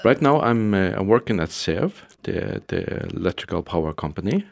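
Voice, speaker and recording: A man's voice: low voice, sing-song, normal speed, creaky, dry recording. A middle-aged man from the Faroe Islands, a guest in a studio interview, talking casually with many fillers and restarts. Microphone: studio mic.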